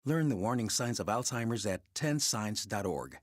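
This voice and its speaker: A man with a fairly low voice reads a scripted public service announcement. The sound is dry and close, like a studio ad narration. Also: animated, moderate pace, no room sound.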